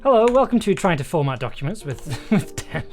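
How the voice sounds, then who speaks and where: A writer livestreaming their work, thinking aloud casually in halting mutters with bursts of jokey, animated asides. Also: medium pitch, faint music behind. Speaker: audio drama writer, livestream host. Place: indoors at a desk, livestreaming. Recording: clear keyboard typing and clicking noise. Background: computer keyboard typing, clicks.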